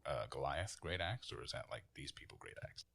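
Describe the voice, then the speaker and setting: A man's voice: rumbling low, animated, a little fast, gravelly, a bit bright, breathy edge. A man playing a tabletop game, casually describing what his character does.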